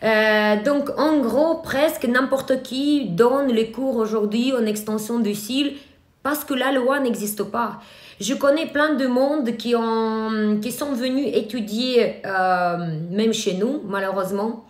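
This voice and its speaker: A woman's voice: fairly high pitch, slow pace. A woman from Ukraine with a Ukrainian accent, an eyelash extension trainer giving a live online workshop, hesitating often with filler sounds and restarts. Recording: echoey room.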